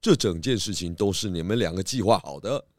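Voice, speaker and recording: Man playing a confident, low-voiced detective. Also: lively intonation, brisk, darkish tone, no room sound, clean studio sound, soft background music. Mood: accusing. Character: confident, clever male detective unmasking culprits. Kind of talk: children's detective radio drama acting.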